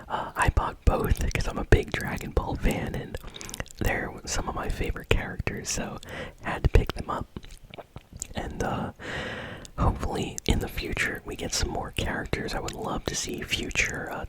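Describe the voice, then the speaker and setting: Constant breathy ASMR whisper. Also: low-quality recording. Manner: casual, conversational, soft-spoken. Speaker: host of a gaming news show. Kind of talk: whispered ASMR news commentary.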